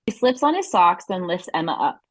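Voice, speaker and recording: A woman's voice: on the low side, sing-song, a little fast, no room sound. A woman reading scripted narration and stage directions as the narrator of an audio play.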